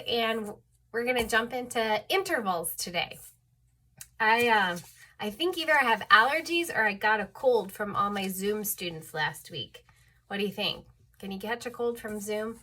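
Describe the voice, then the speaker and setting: A woman's voice: medium pitch, normal speed, bright, forceful tone. A woman teaching an online music theory lesson, a little stuffed up, patiently explaining and often checking in with "okay?".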